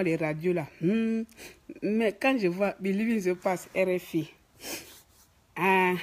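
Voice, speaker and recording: Husky gabonese woman going off on a political rant, mocking, repeating herself for punch. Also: fairly low pitch. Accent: Gabonese French. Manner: scolding, mocking, emphatic repetitions. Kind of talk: ranting political commentary to camera. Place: office.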